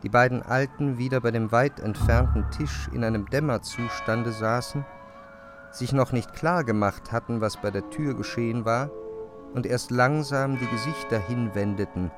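A man reading literary narration for a radio drama, with measured, deliberate phrasing and pauses. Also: mid-range voice, over music.

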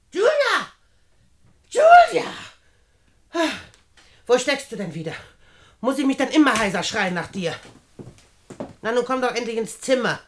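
An actress playing a despotic, sharp-tongued older aunt in a radio play, scolding and mocking as she talks. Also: a little high. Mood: impatient, scolding. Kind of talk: German radio play acting.

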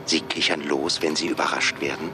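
An actor playing a cold, blunt AI job interviewer in a radio play, speaking formally and condescendingly. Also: male voice, extremely low pitch, steady tone, fairly quick, over music. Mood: cool, condescending. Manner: formal, clipped, condescending questioning.

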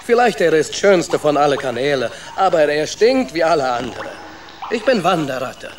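A man's voice: a little high, very animated, moderate pace, reverberant, slight background noise. A man playing a boastful, carefree travelling rat in a children's radio play, with an Italian accent and theatrical storytelling in broken syntax. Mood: gruff, proud.

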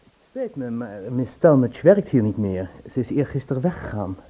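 A man's voice: mid-range voice, very animated, normal speed. An actor playing a polite, fussy male beauty salon owner in a radio play, apologetic and hesitant. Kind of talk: Dutch radio play acting. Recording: husky, tinny old radio recording.

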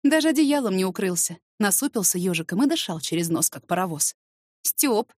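A woman's voice: mid-range voice, very animated, quick pace, no room sound, studio quality. A woman reading first-person fiction expressively as an audiobook narrator.

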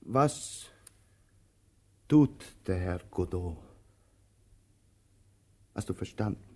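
Slow, brooding tramp. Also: male voice, deep voice, lively intonation, warm tone, exaggerated voice. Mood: probing, insistent. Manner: halting, musing, with long pauses and sudden urgency. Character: weary, brooding male tramp, anxious and waiting. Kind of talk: slow theatrical radio drama acting.

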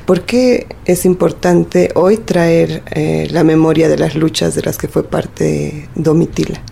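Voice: mid-range voice, steady tone, slow, gravelly, mellow, slightly rough. A radio interviewer who phrases questions and comments in a reflective, hesitant way. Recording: music playing behind the voice. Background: music.

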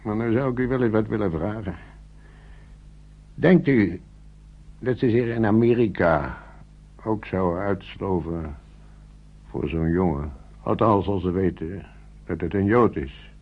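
An actor playing a worried, plain-spoken older man in a radio drama, gravelly and husky, with a blunt colloquial way of talking. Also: fairly low pitch, a little slow, long pauses. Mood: worried, wary. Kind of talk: naturalistic radio play acting. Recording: muffled old radio play recording.

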